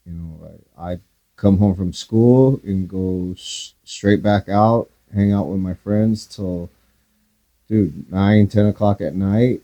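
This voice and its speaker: A man co-hosting a podcast, sharing a related memory in quick, relaxed bursts with restarts. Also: low-pitched, low-quality recording. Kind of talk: casual conversation.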